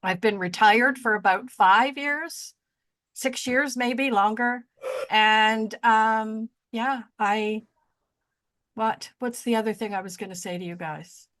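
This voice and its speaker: A woman's voice: on the high side, takes it slowly, fairly bright tone. A woman in her sixties co-hosting a podcast, chatting casually and rambling, often losing her train of thought and joking at her own expense. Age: 60s. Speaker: podcast co-host. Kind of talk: casual chat.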